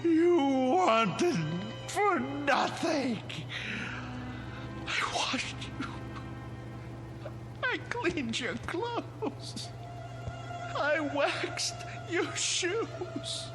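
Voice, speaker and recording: A meek, anguished caretaker, slow and swooping. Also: male voice, extremely high pitch, animated, music in the background, exaggerated voice. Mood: anguished, accusing. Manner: pleading, deferential, emotional. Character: devoted, lonely male wax museum caretaker, meek yet fervent. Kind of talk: vintage television drama acting.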